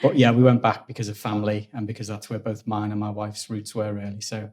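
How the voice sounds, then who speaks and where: A man in his forties from Liverpool with a Liverpool accent, a guest telling stories in a relaxed interview and often repeating words while he thinks. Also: lowish voice, fast talker. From Liverpool, England. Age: 40s. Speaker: guest, engineering executive. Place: office at company headquarters.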